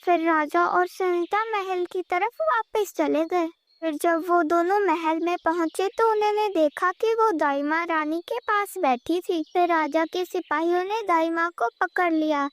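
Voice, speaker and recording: A woman's voice: very high-pitched, a little slow, hardly any pauses. A woman narrating a scripted children's cartoon story.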